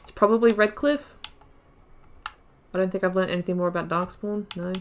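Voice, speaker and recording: A woman's voice: mid-range voice, fairly even intonation, fairly quick, a little hiss or hum. A woman hosting a gaming video, reading lore aloud and adding informal, self-correcting asides. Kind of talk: reading game lore aloud with casual commentary.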